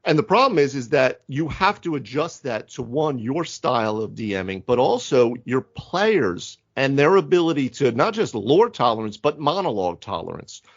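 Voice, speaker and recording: A man's voice: mid-range voice, sing-song, moderate pace, few pauses. Man explaining things in dull audio. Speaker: podcast co-host. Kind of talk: casual panel discussion on tabletop role-playing. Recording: dull, band-limited audio.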